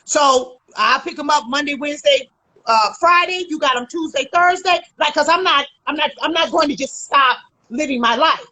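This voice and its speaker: A woman relationship coach in a casual conversation, loud, emphatic and blunt, swearing freely. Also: high-pitched, moderate pace, poor audio.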